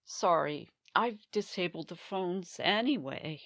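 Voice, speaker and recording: An actress plays a guarded, worn-out woman in a sci-fi radio play. She speaks slowly, with lively rises and falls and some gravel in the voice. The recording is close and dry. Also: fairly low pitch, no room sound, heavily acted. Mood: strained, insistent. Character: tense, weary woman hosting guests in a dangerous world. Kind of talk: audio drama acting.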